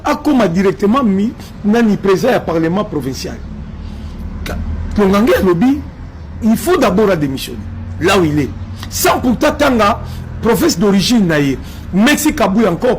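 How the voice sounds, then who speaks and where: Emphatic high-pitched Congolese man. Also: slight background noise, low-quality recording. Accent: Congolese French. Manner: emphatic, repetitive, mixes in Lingala. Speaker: political commentator. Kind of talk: impassioned political commentary.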